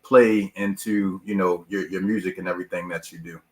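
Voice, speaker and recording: A man's voice: deep voice, fairly even intonation, a little fast. A man co-hosting a podcast interview, enthusiastic and eager with his questions. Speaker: podcast co-host. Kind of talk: casual interview chat.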